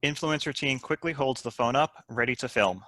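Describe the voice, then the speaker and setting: A man's voice: mid-range voice, moderate pace, pushed and bright, no room sound. A man reading out stage directions as scripted narration for a screenplay table read.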